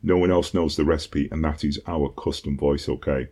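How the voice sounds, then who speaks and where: A British man with a deep voice giving a casual tutorial, talking conversationally with frequent ums and "okay?" check-ins. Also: steady tone, normal speed, mellow, breathy edge. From Britain. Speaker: online course instructor.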